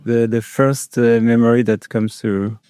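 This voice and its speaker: Casting: male interview host, mellow and clear, hesitant phrasing, dry studio-close sound. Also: mid-range voice, flat delivery, dry recording. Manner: halting, hesitant phrasing with repeated words. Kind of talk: casual interview.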